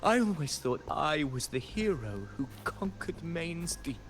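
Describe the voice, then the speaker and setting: An actor playing a proud, disillusioned fallen hero in a video game, speaking in a dramatic, brooding monologue. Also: male voice, fairly high pitch, big pitch swings, a little slow, a bit bright, a little hiss or hum, heavily acted. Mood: proud, then shaken.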